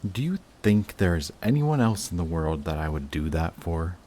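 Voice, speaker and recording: Very deep male voice, actor as lovestruck guarded villain, halting and emotional, intimate audio roleplay, noticeable background noise. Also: very animated, darkish tone. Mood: earnest, defensive. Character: lovestruck male villain, guarded, flustered, confessing love to rival.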